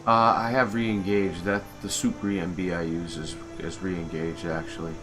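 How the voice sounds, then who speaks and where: A man giving casual gameplay commentary as a modder, informal and muttering to himself. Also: sits low. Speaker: game modder and video host. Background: video game music.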